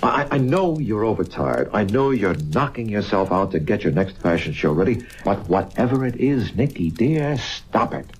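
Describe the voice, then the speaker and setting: Exasperated, disbelieving man, swooping pitch. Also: sing-song, slight background noise. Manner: halting, emphatic repetition. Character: exasperated, disbelieving, protective man, loyal to his brother. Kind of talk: old-time radio drama acting.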